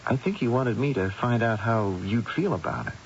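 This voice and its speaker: An actor playing a mild young grown son and expectant father in an old-time radio drama, speaking in a halting, hesitant way. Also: medium pitch, lively intonation, lightly acted. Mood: hesitant, concerned. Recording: hissy, dull old radio recording.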